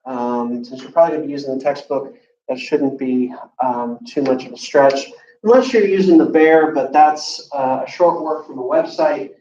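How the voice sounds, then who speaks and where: An American man giving an informal classroom explanation as a college instructor, easygoing and hesitant, pausing mid-sentence. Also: medium pitch, darkish tone. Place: classroom with students. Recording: echoey room, distant muffled mic.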